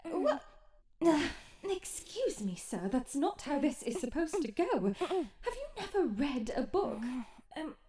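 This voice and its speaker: Woman playing a high-voiced, dreamy, theatrical young princess. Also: sing-song, slow pace, warm tone, a little hiss or hum, exaggerated voice. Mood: flustered. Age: young adult. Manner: theatrical, flowery, prim storybook phrasing, sighing, often half-whispering. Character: sheltered, romantic, dramatic young princess locked in a tower. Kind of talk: ASMR roleplay audio drama, soliloquy.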